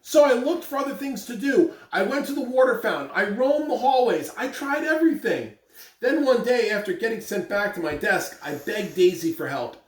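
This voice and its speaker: A woman speaking to young children in an encouraging, deliberate way, as in school announcements and a read-aloud. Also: on the high side, lively intonation. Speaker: school morning announcer and story reader. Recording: echoey room, distant mic, faint noise.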